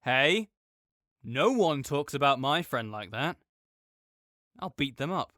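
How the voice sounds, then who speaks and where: A man's voice: medium pitch, animated, takes it slowly, bright, forceful tone, no echo, clean studio sound. Playful, reassuring young man. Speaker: audio roleplay performer as a friend. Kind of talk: audio roleplay, comforting friend.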